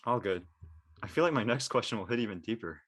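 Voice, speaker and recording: A man's voice: on the low side, animated, fast, a bit bright. A man in casual group chat, talking informally and stumbling a little as he puts questions. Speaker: panel participant.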